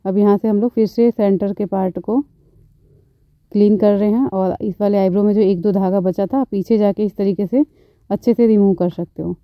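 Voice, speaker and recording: A woman beautician calmly explaining eyebrow threading step by step, as she works, often repeating her phrases. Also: mid-range voice, normal speed, dry recording, muffled recording. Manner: calm, instructive, repeats phrases. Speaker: beautician, channel host. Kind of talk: step-by-step tutorial narration.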